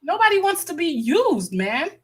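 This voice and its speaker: A woman's voice: very high-pitched, big pitch swings, moderate pace. An American woman hosting a livestream with casual, impassioned commentary, stressing points by repeating her phrases. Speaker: livestream host.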